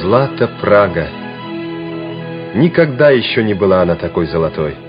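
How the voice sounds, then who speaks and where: A man narrating a Soviet war radio play in a measured, solemn, declamatory manner. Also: low voice, sing-song. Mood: lofty, reverent. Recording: dull vintage radio play recording, loud music. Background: music.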